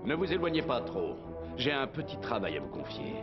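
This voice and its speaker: A man's voice: mid-range voice, big pitch swings, fairly quick, natural voice. A man acting a suave, menacing official in a dubbed cartoon, with a silky, commanding and insinuating delivery. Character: suave, menacing male official addressed as Excellency. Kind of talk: dubbed animated series acting. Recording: dull sound under loud music.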